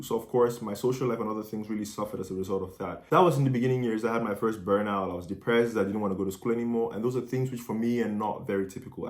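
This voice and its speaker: Fast-talking young man, low voice. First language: not Dutch. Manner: earnest, encouraging, direct address to viewers. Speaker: medical student vlogger. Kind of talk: casual vlog to camera.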